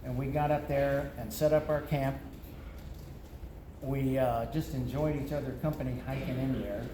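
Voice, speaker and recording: A man's voice: mid-range voice, steady tone, moderate pace. An older man giving a plainspoken eulogy for an old friend, reminiscing at a memorial gathering. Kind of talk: memorial remembrance. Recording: echoey room with background noise.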